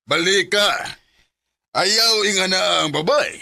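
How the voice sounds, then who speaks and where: A man's voice: very high voice, sing-song, fairly quick, bright, forceful tone, no echo, exaggerated voice. An actor playing a bossy, insistent man in a Filipino radio drama. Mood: scolding.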